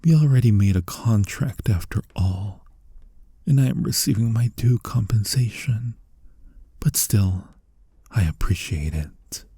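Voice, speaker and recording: Incubus demon, male performer, ASMR roleplay: tender and coaxing, low gravelly murmur slipping into whispers, close and dry. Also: expressive, dark tone, no room sound, exaggerated voice. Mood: fond, teasing. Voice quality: breathy, husky, often sinking into whispers. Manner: slow, coaxing, reassuring. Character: flirty male incubus demon, tender, soothing, gently dominant. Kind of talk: ASMR roleplay, intimate comforting monologue.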